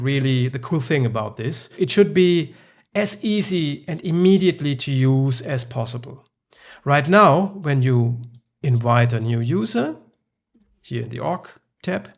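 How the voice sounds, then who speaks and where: A man with a gravelly, creaky voice, German his first language, demos his software in a loose, thinking-aloud manner. He pauses often with fillers as he clicks through screens. His pitch sits in the middle for a man. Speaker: software developer. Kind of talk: screen-recorded app demo.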